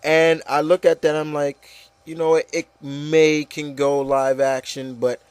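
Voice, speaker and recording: A man's voice: a little high, level tone, a little slow, clean tone, no room sound. A man hosting a casual, opinionated discussion, speaking emphatically and repeating phrases to drive points home. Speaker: podcast host.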